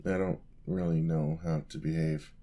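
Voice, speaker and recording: A man's voice: rumbling low, level tone, moderate pace, warm tone. A man playing an eager, charming young apprentice paladin in a fantasy ASMR roleplay, talking to the listener in a polite, easily flustered way. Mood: nervous, bashful. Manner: polite, flustered, hesitant, chatty.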